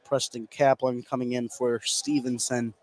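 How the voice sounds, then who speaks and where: A man's voice: medium pitch, flat delivery, normal speed, darkish tone, slight background noise. A man working as a color commentator on live basketball, explaining plays in casual, conversational remarks. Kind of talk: live sports analysis, conversational.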